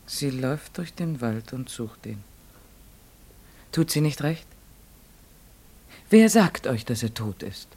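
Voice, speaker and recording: Radio drama narrator, troubled chronicler, medium pitch, measured, formal, earnest. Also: male voice, expressive, a little mellow, husky, full character voice. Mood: doubting, urgent. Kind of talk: literary radio play narration.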